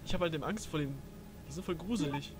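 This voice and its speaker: A man's voice: fairly high pitch, quick pace, heavy background noise. A young man giving casual, slangy and crude gaming commentary as he plays. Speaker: let's play host, playing the game. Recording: game music behind the voice. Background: video game music.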